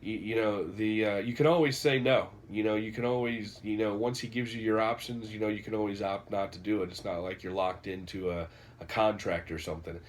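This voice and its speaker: A man's voice: fairly low pitch, fairly even intonation, fairly quick, a little hiss or hum. Stuffy-nosed man rambling. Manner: rambling, many fillers and restarts. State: congested from a cold, nasal. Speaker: vlogger reviewing a book. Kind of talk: casual unscripted vlog.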